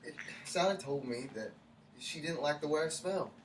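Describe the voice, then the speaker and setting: Casting: male actor as hapless young guy, defensive and halting, slightly high animated voice; stage reading, roomy distant sound. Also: close to an everyday voice. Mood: sheepish, wounded. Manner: halting, defensive, repeats himself. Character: Chad, hapless, sheepish young man defending his awful date. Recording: echoey room from far off, faint noise.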